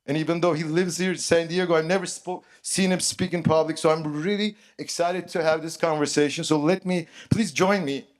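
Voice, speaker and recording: A middle-aged man hosting a fireside chat on an auditorium stage, introducing a guest in a halting way with fillers and restarts. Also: fairly high pitch, steady tone. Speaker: host. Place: large auditorium stage, seated.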